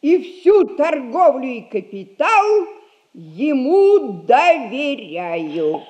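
An older actress playing a shrewd, domineering old merchant matriarch in a 1960s Russian radio comedy, drawling in a folksy, mocking way. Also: female voice, high-pitched, very animated, extremely slow, darkish tone, dull audio, heavily acted. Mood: commanding. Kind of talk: classic Russian stage comedy acting, 1960s radio play.

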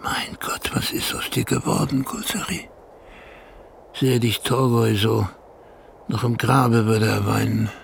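An actor playing a weary old herder in a radio play, tender and sorrowful, with a gravelly voice. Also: male voice, mid-range voice, fairly even intonation, unhurried, a little hiss or hum. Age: older. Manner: talks gently to his exhausted horse. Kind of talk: German radio play acting.

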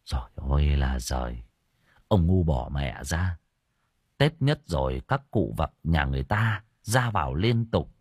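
A man's voice: rumbling low, big pitch swings, measured pace, husky, no room sound, studio quality, pitched below the performer's own voice, heavily acted. A man voicing a blunt, bossy young spirit medium who scolds casually in a story. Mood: exasperated.

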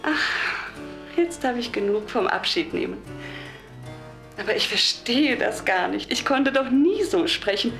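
An actress playing a meek, devout, gravely ill wife in a radio drama, solemn and fervent, halting and at times dropping towards a whisper. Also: female voice, on the high side, expressive, fairly bright tone, faint music behind, exaggerated voice. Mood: tender, wistful.

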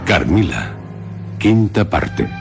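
Extremely deep, slow, suspenseful male host. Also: sing-song, gravelly. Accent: Castilian Spanish. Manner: solemn, suspenseful, pausing between short phrases. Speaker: radio drama host. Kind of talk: theatrical horror radio narration. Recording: loud music behind voice. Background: loud music.

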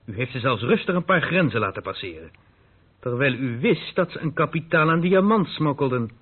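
An actor playing a stern, reproachful police inspector in a radio drama, questioning in a clipped, insistent, authoritative way. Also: male voice, medium pitch, lively intonation, fairly quick, slightly rough. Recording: muffled narrow-band old radio recording.

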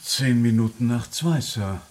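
An actor playing a formal, dutiful valet in a German radio drama, measured, sleepy and deferential. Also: male voice, mid-range voice, a little mellow, lightly acted.

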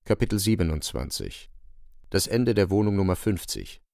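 Man, scripted literary narration, low-pitched, creaky, measured, matter-of-fact, very dry recording. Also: very animated, a little mellow, no room sound.

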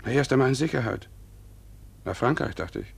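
An actor playing a grave, stubborn farmer husband who is convinced of doom, in a 1950s German radio play. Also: male voice, medium pitch, lively intonation, fairly quick, vocal fry, slightly rough, heavily acted. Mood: resolute. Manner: grave, resolute.

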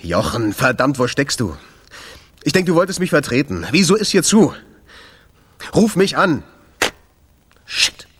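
An actor playing an edgy jazz club owner under pressure, terse, nervous and informal in a radio drama. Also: male voice, a little high, sing-song, measured pace, long pauses, fairly bright tone, a little hiss or hum. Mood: agitated.

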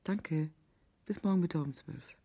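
Low-voiced, cool, calculating wife. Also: female voice, moderate pace, background noise. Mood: calm, calculating. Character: cool, wry, calculating female wife and accomplice. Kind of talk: German radio drama acting.

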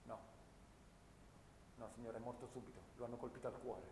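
Actor as Paolo, haunted young soldier, echoing room. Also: male voice, steady tone, a little fast, vocal fry, lightly acted. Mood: subdued. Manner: earnest, literary narration. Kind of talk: dramatic radio theatre monologue. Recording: echoey reverberant room, low rumble.

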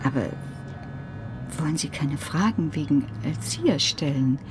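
A female piano teacher in a radio crime drama. She speaks slowly in a fairly low voice, earnest, courteous and hesitant, with music in the background. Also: lively intonation. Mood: hesitant, polite.

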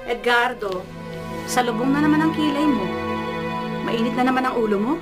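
An actress playing an indulgent, protective middle-aged mother in a radio drama, with loud music behind. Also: fairly high pitch, moderate pace, close to an everyday voice. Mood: chiding.

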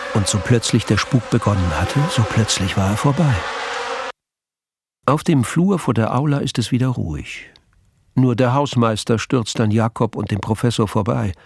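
An actor as the composed storyteller of a mystery radio play, narrating in a measured, literary manner. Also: male voice, medium pitch, breathy edge, no room sound, clean studio sound. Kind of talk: scripted narration.

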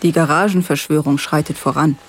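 Creaky, higher-voiced wry narrator played by a man. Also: steady tone, fairly quick, slight background noise, close to an everyday voice. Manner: ironic, wry commentary. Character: wry, knowing narrator commenting on bandmates. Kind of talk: scripted radio drama narration.

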